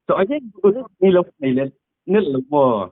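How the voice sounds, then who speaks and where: A man's voice: fairly high pitch, animated, a little fast. A man talking casually on a phone call, his voice coming through a tinny, narrow line. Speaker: phone caller. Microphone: telephone.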